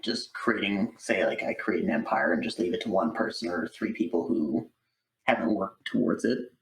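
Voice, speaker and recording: A man's voice: low voice, moderate pace, creaky. A man answering as an interview guest, chatting casually in a hesitant, thoughtful way. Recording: slightly distant microphone.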